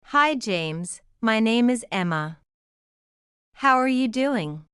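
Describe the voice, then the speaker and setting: A woman reading one side of a scripted English lesson dialogue, speaking in careful, clearly separated simple sentences. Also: fairly high pitch, lively intonation, takes it slowly, fairly bright tone, no room sound, clean studio sound. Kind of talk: slow scripted practice dialogue.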